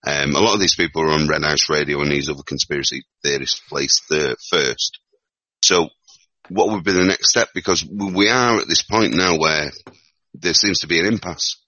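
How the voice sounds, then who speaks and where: A man with a Northern English accent and an extremely deep voice that still comes across forceful and bright. He talks in a loose, rambling way, restarting his phrases. The sound is dry and muffled, like a lo-fi recording. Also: moderate pace, no room sound. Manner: rambling, repeats words as he thinks aloud. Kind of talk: casual discussion.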